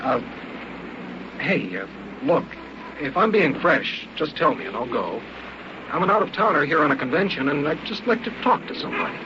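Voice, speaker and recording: A muffled, very noisy old radio recording of an actor playing a male hunter, high-voiced and cocky. He speaks in hesitant, stammering small talk, as if a shy visitor from out of town. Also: expressive, moderate pace, close to an everyday voice. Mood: polite, probing. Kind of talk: old-time radio drama acting.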